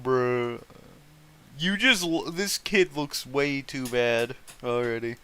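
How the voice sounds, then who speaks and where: Male online host, bright and unhurried delivery, casual mocking read-aloud, profane, incredulous reactions. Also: mid-range voice, smooth. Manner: foul-mouthed, incredulous, breaks off to react. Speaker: host reading fan fiction aloud and commenting.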